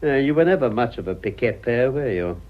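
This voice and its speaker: An actor playing a suave, ironic older gentleman with an upper-class English accent, teasing with mock politeness in a radio play. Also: mid-range voice, lively intonation, brisk, natural voice. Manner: urbane, mock-polite, dry. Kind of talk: stage comedy radio acting. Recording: dull, muffled old radio drama.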